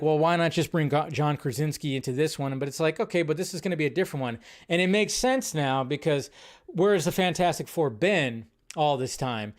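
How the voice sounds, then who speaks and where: A young adult man hosting a casual live movie news stream, rambling and correcting himself as he talks. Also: a little high. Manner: rambling, self-correcting, chatty.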